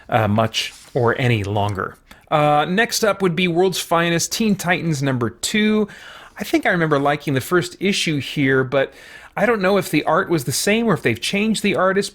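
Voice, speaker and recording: Higher-pitched man casually reviewing comics. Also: a bit bright. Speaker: comics review show host.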